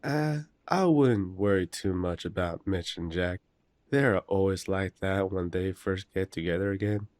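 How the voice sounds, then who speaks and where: A man playing a courteous, protective eldest werewolf brother in an audio roleplay, gently authoritative and polite. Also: sits low, a little slow, no room sound, clean studio sound. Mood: gentle, reassuring. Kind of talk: roleplay audio drama acting.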